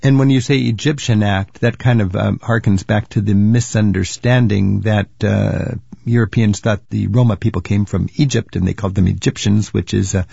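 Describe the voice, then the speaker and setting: A man's voice: fairly low pitch, fairly even intonation, normal speed, creaky, few pauses, warm tone, breathy edge, no room sound, dull audio. An older man hosting a travel radio show, chatty and curious, thinking aloud with fillers as he asks questions. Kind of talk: radio interview.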